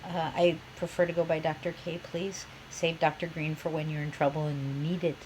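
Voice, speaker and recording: A woman's voice: deep voice, level tone, fairly quick, a little mellow, slight background noise, low-quality recording. A woman professor with a cold and a slightly nasal voice, giving a chatty, enthusiastic course introduction. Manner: chatty, enthusiastic, self-described geek.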